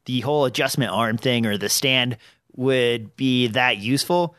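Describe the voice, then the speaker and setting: A man's voice: mid-range voice, moderate pace, fairly bright tone, clear, resonant tone, dry recording. A man with a slightly nasal voice hosting a casual podcast, rambling with fillers, close on a dynamic studio mic. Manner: rambling, with many fillers and restarts. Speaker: podcast host. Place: small home studio. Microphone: studio dynamic microphone on an arm.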